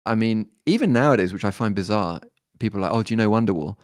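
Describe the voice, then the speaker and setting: A man, talking as a guest musician in a relaxed interview, thoughtful and hesitant with many fillers and restarts. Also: fairly low pitch, quick pace, no echo, clean studio sound. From born in Detroit, raised in London.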